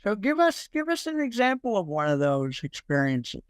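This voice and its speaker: Man, interview host, notably high pitch, very clean tone, jokey, rambling, informal. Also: very animated, moderate pace, clear, resonant tone, no room sound. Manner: jokey, rambling, repeats himself. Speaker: show host interviewing a guest.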